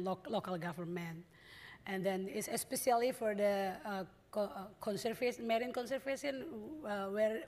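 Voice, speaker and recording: A middle-aged woman from West Papua, Indonesian as first language, speaking as a panel guest on a conference stage, halting with many hesitations and restarts. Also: medium pitch, darkish tone. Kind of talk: panel discussion. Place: large conference stage indoors.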